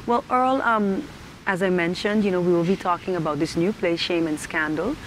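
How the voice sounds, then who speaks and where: A woman's voice: on the low side, normal speed, poor audio. A woman hosting a talk show, introducing and questioning in a conversational interview style. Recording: faint background noise.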